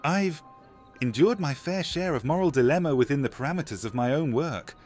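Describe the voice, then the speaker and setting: A man's voice: fairly high pitch, lively intonation, brisk, a little mellow, faint music behind. A man playing an eager older alchemist in an audio drama, theatrical, florid and ingratiating. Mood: pleading, grandiose. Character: eager older alchemist begging to become a vampire. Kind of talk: audio drama roleplay acting.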